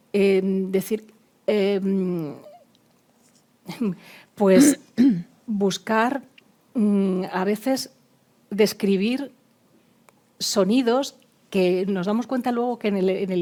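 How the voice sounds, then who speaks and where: A woman's voice: medium pitch, dark tone. A woman speaking on an expert panel, thinking aloud with frequent fillers, restarts and repeated words. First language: not Spanish. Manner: hesitant, many fillers and restarts. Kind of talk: panel discussion.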